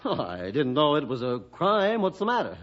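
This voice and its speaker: A man's voice: fairly high pitch, huge pitch swings, normal speed. A male actor playing a defensive, hesitant cabin owner with an American accent in a 1960s radio drama. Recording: dull vintage radio recording.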